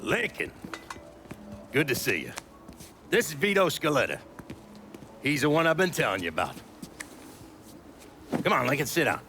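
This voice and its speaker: An actor playing a gregarious but ruthless older mob boss in a video game, folksy, profane and always selling. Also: male voice, fairly high pitch, huge pitch swings, normal speed, long pauses, noisy background. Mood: genial, persuasive. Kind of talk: cinematic video game dialogue. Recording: music behind the voice.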